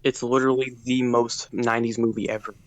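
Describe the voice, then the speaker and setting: A man's voice: medium pitch, level tone, fairly quick, a little hiss or hum. A man giving his opinions casually as a panelist on a podcast.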